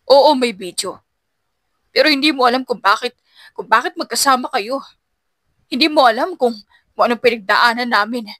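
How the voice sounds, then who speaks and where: A guilty young boyfriend acted by a woman in a romantic audio drama. He pleads and defends himself in a bright, close, dry voice. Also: fairly high pitch, moderate pace, pauses often, dry recording. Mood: defensive.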